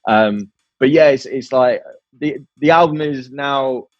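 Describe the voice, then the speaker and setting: A man's voice: mid-range voice, unhurried, no echo, muffled recording. A man chatting informally and candidly in an interview as a band musician, in a rambling way. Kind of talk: casual interview chat.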